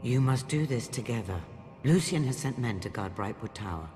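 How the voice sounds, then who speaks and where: An actor playing a sober, purposeful guide with a measured, commanding manner in a fantasy video game. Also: male voice, medium pitch, expressive, darkish tone, natural voice. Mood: grave, firm. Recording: long reverb, game music behind.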